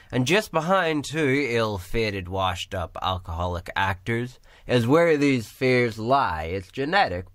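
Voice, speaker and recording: A man from Northern Ireland performing spoken word poetry in a measured, rhythmic recitation. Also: medium pitch, a bit bright, no echo, clean studio sound. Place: small room or pub.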